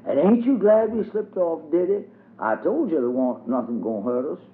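A man's voice: a little high, animated, moderate pace, voice pushed up. A man voicing an eager, playful little girl who chatters away. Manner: eager, dialect-rich chatter. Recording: tinny phone-like audio, faint hiss.

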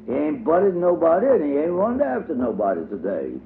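A man's voice: a little high, big pitch swings, moderate pace, gravelly, voice pushed up. A man voicing an eager, playful little girl who chatters away. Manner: eager, dialect-rich chatter. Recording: tinny phone-like audio, faint hiss.

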